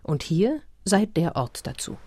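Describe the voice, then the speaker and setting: A narrator in a radio play, reading a whimsical documentary report in a measured, formal, matter-of-fact way. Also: medium pitch, very animated, darkish tone, slightly rough, no echo. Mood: sober, measured.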